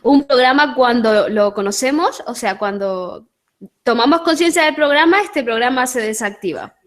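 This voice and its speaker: A woman's voice: a little high, normal speed. A woman with an accent from Spain, hosting an interview and encouraging her guest. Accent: Spain Spanish. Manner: attentive, encouraging, interjects with short replies. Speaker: host.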